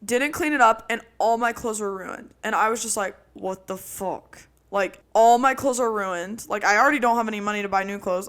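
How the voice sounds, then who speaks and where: A young woman co-hosting a podcast, telling a roommate story in casual chat with a loud, projecting voice and lots of "like". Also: mid-range voice, clean tone. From Italian roots. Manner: animated storytelling with frequent "like". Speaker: podcast co-host.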